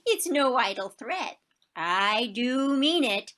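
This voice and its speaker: Woman as a slow, high-voiced feisty old lady. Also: expressive, a bit bright. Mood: stubborn, indignant. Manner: deliberate, indignant, theatrical. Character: frail, feisty old lady with a cane, stubbornly proud. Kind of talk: stage comedy acting, one-act play.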